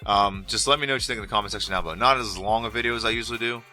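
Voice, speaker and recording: A man's voice: on the low side, very fast, sharp tone, faint music behind. A man talking casually to his viewers as a sports vlogger, sounding nasal from a head cold, rambling and restarting his sentences. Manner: loose, rambling, repeats words and restarts. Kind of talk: casual opinion monologue to camera.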